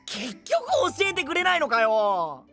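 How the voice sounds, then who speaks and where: An animated, boyish young dreamer. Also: male voice, very high-pitched, measured pace, music in the background, full character voice. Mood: eager. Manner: boyish, casual, expressive. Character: earnest, boyish young dreamer knight, open-hearted and eager. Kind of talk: anime voice drama acting.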